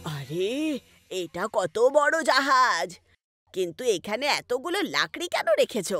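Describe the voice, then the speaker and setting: Lady doing snooty demanding landlady, cartoon, swingy exclamatory high voice, music behind. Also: big pitch swings, slow, a bit bright, no room sound, exaggerated voice. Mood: amazed relief. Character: haughty, scornful, demanding village landlady. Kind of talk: animated moral story dialogue.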